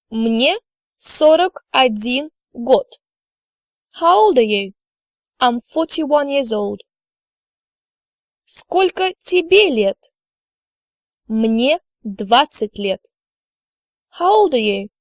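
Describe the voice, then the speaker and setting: A woman teaching a language lesson over slides, speaking slowly and carefully and modelling words for learners to repeat. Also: high-pitched, no room sound, studio quality. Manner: slow, careful delivery, models words for repetition. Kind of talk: slide lecture.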